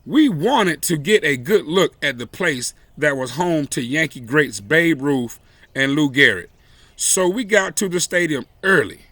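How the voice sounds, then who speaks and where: A man reading a children's story aloud expressively, with deliberate, emphatic phrasing and dramatic pauses. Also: fairly high pitch, lively intonation, bright, forceful tone, dry recording. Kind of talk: expressive read-aloud storytelling with brief asides.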